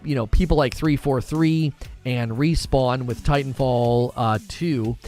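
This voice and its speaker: A man in his forties hosting a casual gaming livestream, musing aloud in a relaxed, conversational way as he answers chat. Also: mid-range voice, measured pace, soft background music. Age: 40s. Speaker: gaming channel host reading live chat.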